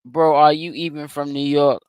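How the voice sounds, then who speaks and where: A man's voice: on the high side, little pitch movement, moderate pace, darkish tone, smooth, no room sound. A young man from Boston with a Boston accent, rambling through casual celebrity gossip commentary and correcting himself as he goes. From Boston, Massachusetts. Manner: rambling, self-correcting, streetwise slang.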